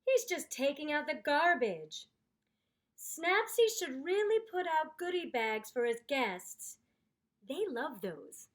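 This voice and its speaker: A woman reading a children's story aloud in an expressive, theatrical, deliberate way. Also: high-pitched. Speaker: storyteller, voice actor.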